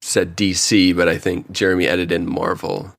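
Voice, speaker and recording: A man's voice: low-pitched, level tone, normal speed, vocal fry, no room sound, clean studio sound. A man co-hosting a podcast, chatting casually and jokily while giving his opinions in a review. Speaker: podcast co-host. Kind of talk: casual review chat with anecdotes.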